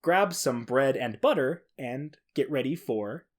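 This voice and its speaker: A man's voice: medium pitch, lively intonation, measured pace. A man hosting a podcast alone, talking casually in an informal, enthusiastic manner. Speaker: podcast host. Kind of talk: casual solo podcast talk.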